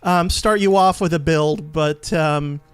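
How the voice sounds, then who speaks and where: A man's voice: fairly high pitch, unhurried, clean tone. A man giving casual gameplay commentary as a let's play host, explaining in a rambling, hesitant way. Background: game menu music.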